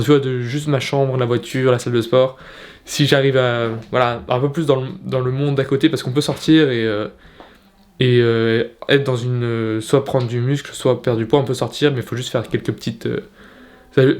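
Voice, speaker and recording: A man's voice: mid-range voice, a little mellow. Young male fitness vlogger, casual bedroom talk to camera, hesitant delivery with restarts. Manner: hesitant, many fillers and restarts. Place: at home in his bedroom.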